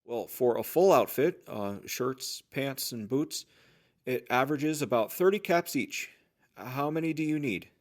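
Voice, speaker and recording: An eager small-town trader of the wasteland, played by a man, talks in obliging sales patter. His voice sits at an ordinary middle pitch, as acted for an audio drama about life after the apocalypse. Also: animated, measured pace, close to an everyday voice. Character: chatty, obliging male general-store trader in a wasteland town. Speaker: voice actor playing a shopkeeper.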